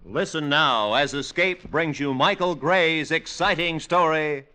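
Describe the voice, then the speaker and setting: A man's voice: on the high side, measured pace, sharp tone, smooth. A man announcing in a dramatic, scripted 1950s radio style. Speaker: program announcer. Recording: vintage radio broadcast with music.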